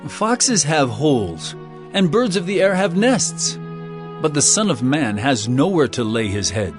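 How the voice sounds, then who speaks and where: A man's voice: fairly high pitch, very animated, unhurried. A man playing an earnest, authoritative teacher in a dramatized audio Bible, speaking in a declamatory way. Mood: instructing. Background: music.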